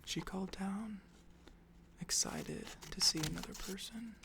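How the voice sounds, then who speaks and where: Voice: mid-range voice, measured pace, creaky, background noise. A tired ASMR storyteller whispering a rambling improvised tale, with occasional apologetic asides.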